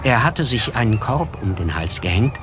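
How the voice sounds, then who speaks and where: A man playing a reserved writer who narrates a literary radio play in a measured, wry, observant way. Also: fairly low pitch, sing-song, background noise. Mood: wry, descriptive. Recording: music bed behind the voice.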